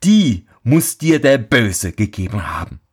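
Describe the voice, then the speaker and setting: A man's voice: medium pitch, very animated, a little slow, a little mellow, slightly rough. A man doing a stern weary old father. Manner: scolding, sighing.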